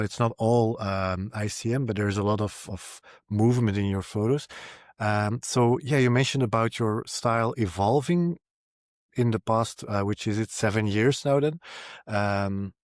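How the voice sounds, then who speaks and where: A man's voice: on the low side, unhurried, no room sound, studio quality. A man hosting a podcast in a casual, hesitant way, rambling a little and repeating words as he goes. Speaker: podcast host. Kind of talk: casual interview introduction and chat.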